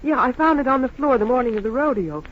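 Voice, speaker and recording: A dull, faintly noisy vintage radio drama with an anxious townswoman. Her highish voice swings expressively, hesitant and earnest. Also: lively intonation, quick pace. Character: anxious, bereaved Western townswoman, earnest and helpful. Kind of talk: 1950s radio Western acting. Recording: muffled, noisy old-time radio recording.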